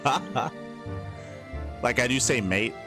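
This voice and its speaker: A man's voice: medium pitch, animated, takes it slowly, music in the background. A man from Australia with a mild Australian accent, casting a game solo in a chatty, explaining way with lots of fillers. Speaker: esports caster.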